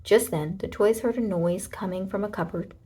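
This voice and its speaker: A woman narrating a children's storybook aloud in an expressive way, with deliberate dramatic emphasis. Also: medium pitch, slightly rough.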